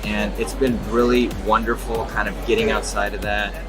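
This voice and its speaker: A man in his thirties from California, raised speaking Czech at home, giving relaxed interview answers with frequent hesitations and restarts. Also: lowish voice, normal speed, poor audio. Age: 30s. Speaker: interview guest, designer. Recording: music playing loudly behind.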